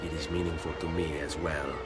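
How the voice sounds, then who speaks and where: An actor voicing a determined young assassin in a video game, speaking English in a resolute, measured way. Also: male voice, rumbling low, level tone, long reverb tail, loud music behind the voice. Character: young male Italian assassin, determined and steady. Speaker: lead hero.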